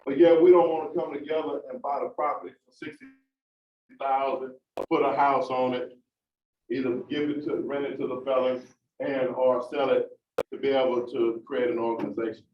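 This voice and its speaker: A man's voice: medium pitch, level tone, moderate pace, a little hiss or hum. A man speaking emphatically at a community panel, with preacher-like repetition. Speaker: formerly incarcerated community speaker. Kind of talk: impassioned panel remarks. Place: large meeting room. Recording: roomy, distant, dull audience recording.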